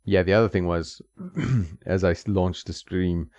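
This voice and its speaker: A man's voice: low-pitched, steady tone, a little slow, a little mellow, no echo, highs cut off. A man streaming retro games, chatting casually and thinking aloud with lots of ums and small laughs. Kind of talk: casual gameplay commentary. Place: home streaming setup. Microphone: desk mic.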